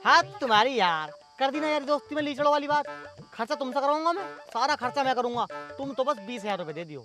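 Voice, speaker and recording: Man playing a high-voiced wily village fixer. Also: music in the background. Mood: scheming, persuasive. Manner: flattering, fast-talking hustler. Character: wily village election fixer milking both candidates for money. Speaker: actor in a comedy sketch. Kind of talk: rustic comedy sketch acting.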